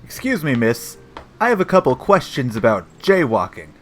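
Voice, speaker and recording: A man's voice: mid-range voice, sing-song, moderate pace, fairly bright tone. Man playing a bumbling, cocky noir detective, faint noise. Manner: self-satisfied, old-fashioned noir slang, stammers when flustered. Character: bumbling, cocky hardboiled police detective, eager with puns. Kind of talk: comic noir audio play acting.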